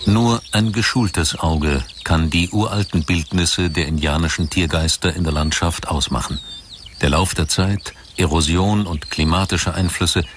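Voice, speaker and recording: A man narrating a scripted documentary in a measured, formal way. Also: low-pitched, fairly bright tone, a little hiss or hum. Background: music behind the voice.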